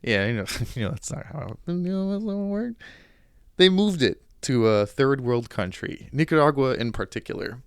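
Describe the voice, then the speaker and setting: A man's voice: medium pitch, expressive, moderate pace, clear, resonant tone, no room sound, studio quality. A man hosting a podcast, giving casual, sarcastic commentary with joking asides. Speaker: podcast host.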